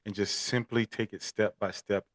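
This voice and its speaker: Earnest man from Miami, low voice, dry close recording, podcast guest. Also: level tone, moderate pace, no echo. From Miami, Florida. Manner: earnest, repeats words when starting sentences. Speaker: podcast guest, equine therapy expert. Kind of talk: casual interview talk.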